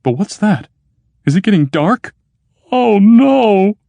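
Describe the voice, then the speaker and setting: A man's voice: animated, a little slow, dark tone, dry recording. A man doing a frantic, fawning inventor. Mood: anxious. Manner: high, pleading and wheedling. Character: anxious, fawning male constructor, eager peacemaker.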